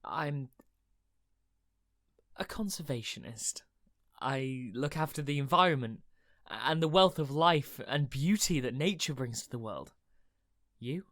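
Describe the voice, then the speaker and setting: A woman's voice: a bass voice, animated, unhurried, pauses often, full character voice. A woman in a radio play playing an earnest, emotive, idealistic conservationist. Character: Alex, earnest, idealistic conservationist, friendly but distressed by destruction.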